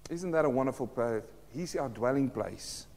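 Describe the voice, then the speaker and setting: Earnest pastor. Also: male voice, medium pitch, animated, normal speed, darkish tone, long reverb tail. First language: Afrikaans. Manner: earnest, addresses listeners as friends, asks rhetorical questions. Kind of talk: sermon.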